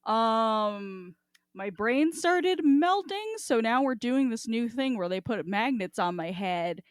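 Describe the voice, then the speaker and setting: A woman's voice: a little high, animated, slow, dry recording, clean studio sound. A woman hosting a casual podcast chat, talking in an irreverent, informal, sweary way. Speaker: podcast host.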